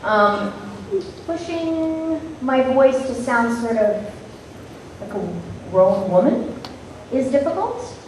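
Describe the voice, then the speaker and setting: A woman voice actress answering questions on a convention panel, hesitant and thoughtful, with many pauses. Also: high voice, expressive, slight background noise. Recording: echoey hall from far off.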